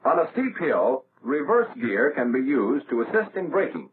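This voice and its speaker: An American man narrating a 1940s military training film in a measured, instructional way, as a scripted voice-over. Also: mid-range voice, very animated. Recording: tinny, band-limited, noisy old film soundtrack.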